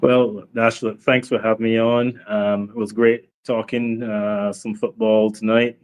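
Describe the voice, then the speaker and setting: A man with a Barbadian accent, a guest on a sports talk show, speaking in a measured, thoughtful way with some hesitations. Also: on the low side, little pitch movement, darkish tone, smooth. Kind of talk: casual sports chat.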